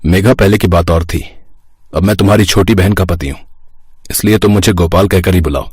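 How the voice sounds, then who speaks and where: A man voicing a calm, firm young village husband and dutiful son, plain-spoken, in a cartoon moral story. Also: sits low, brisk, husky, no echo, clean studio sound, voice pushed down. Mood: firm, cool.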